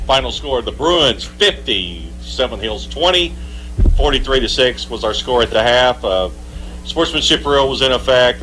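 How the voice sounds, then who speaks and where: A man's voice: mid-range voice, fairly bright tone. A man giving post-game football commentary as a radio broadcaster. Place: live broadcast at a football stadium. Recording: noisy live sports broadcast with hum.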